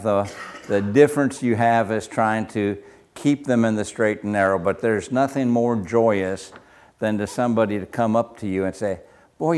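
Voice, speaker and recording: Older man, low soft voice, folksy Bible class teacher who chuckles easily.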